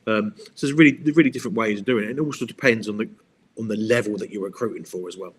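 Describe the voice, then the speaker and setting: A man's voice: on the low side, rapid-fire, a bit bright, a little hiss or hum. A man answering interview questions, rambling and often repeating words while he thinks. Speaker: guest. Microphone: video call.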